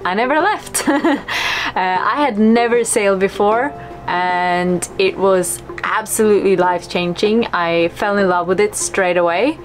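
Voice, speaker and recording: A woman's voice: medium pitch, a little slow, soft background music, low-quality recording. A woman from Sweden, a native Swedish speaker, chatting casually as a sailing vlogger showing viewers around. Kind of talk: casual chat and tour to camera.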